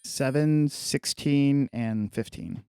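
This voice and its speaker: Medium-pitched man narrating his game moves. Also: slow pace, creaky, darkish tone, a little hiss or hum. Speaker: tabletop game player. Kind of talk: casual roleplay narration.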